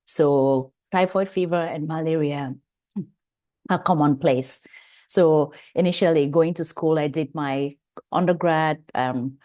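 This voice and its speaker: Cameroonian woman presenting, low dark voice. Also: takes it slowly. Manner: chatty, personal, asides to the audience. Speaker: guest presenter, pharmacist. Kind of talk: webinar presentation. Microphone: video call.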